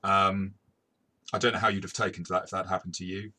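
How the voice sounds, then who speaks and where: A man's voice: low voice, little pitch movement, quick pace, bright, forceful tone. A man chatting through a tabletop game review as its game master, hesitant and often repeating words as he goes. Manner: hesitant, stop-start delivery with repeated words.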